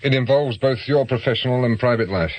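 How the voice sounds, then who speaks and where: An actor playing a shrewd ex-police inquiry agent with a British accent in a radio drama, blunt and probing. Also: male voice, mid-range voice, a little fast, close to an everyday voice, rough recording. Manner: blunt, probing, matter-of-fact.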